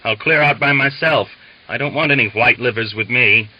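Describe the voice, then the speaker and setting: A man's voice: mid-range voice, moderate pace, dull audio, natural voice. A man voicing a hot-headed, impatient young Cossack revolutionary in an audiobook, with urgent, snappish, goading delivery. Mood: snarling scorn. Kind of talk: dramatised audiobook dialogue.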